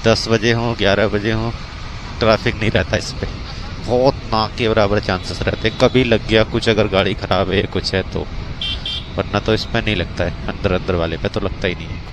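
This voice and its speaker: A man's voice: lowish voice, normal speed. A man with a North Indian accent chatting casually in a daily vlog, informal and repeating his points as he goes. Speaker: vlogger and bike taxi rider. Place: outdoors on city roads and worksite. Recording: steady outdoor traffic and vehicle noise.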